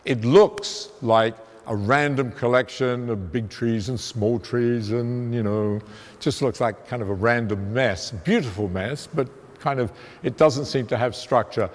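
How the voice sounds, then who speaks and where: Guy giving a science talk, loud and emphatic, chatty, mid pitch, big hall echo. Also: measured pace. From immigrant to the United States. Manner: emphatic, conversational, fairly loud voice. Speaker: guest lecturer, theoretical physicist. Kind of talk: public science lecture. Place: museum lecture hall, walking away from the podium. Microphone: room mic, speaking without a handheld mic. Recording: long reverb of a lecture hall.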